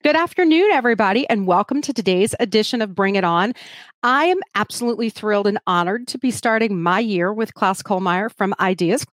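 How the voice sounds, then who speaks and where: A woman hosting a live interview stream, chatty and animated, with easy laughter. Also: medium pitch, brisk, no echo, faint music behind. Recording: dry close audio.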